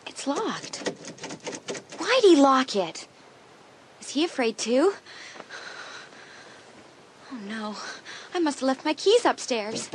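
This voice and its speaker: A woman's voice: a little high, background noise. An actress playing an easily frightened, indignant teenage girl in a dramatised horror audiobook. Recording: music under the voice.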